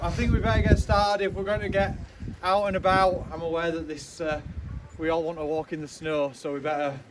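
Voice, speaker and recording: A young man giving a loud, chatty speech outdoors at a charity launch, with many ums and joking asides. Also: on the high side, level tone, a little fast. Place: outdoors in the snow with a crowd. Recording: noisy outdoor recording.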